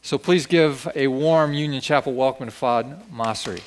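A man's voice: medium pitch, normal speed. A man reading a formal introduction of a guest speaker to a church congregation. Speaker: church pastor.

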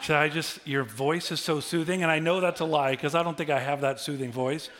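A middle-aged male pastor giving a sermon, conversational and humorous, swinging from quiet asides to loud bursts. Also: fairly high pitch, fairly even intonation, fairly quick, slight background noise. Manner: humorous, conversational preaching with big swings in loudness.

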